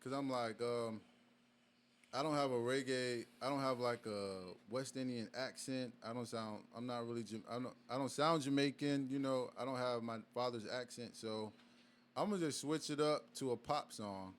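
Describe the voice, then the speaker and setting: A young man sits in on a casual podcast chat as a guest artist. He talks in a laid-back, halting way, full of fillers and restarts, in a voice of middling pitch. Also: fairly even intonation. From Virginia. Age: young adult. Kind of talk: casual interview.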